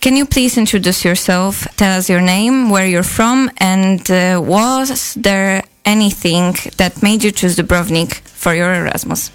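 Smooth-voiced woman hosting a radio show. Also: medium pitch, measured pace, few pauses. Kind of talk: scripted introduction and chatty hosting.

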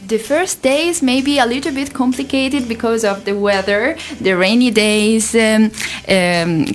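A young woman from Italy with an Italian accent, a student guest who haltingly searches for her English words. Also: fairly high pitch, faint music behind. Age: young adult.

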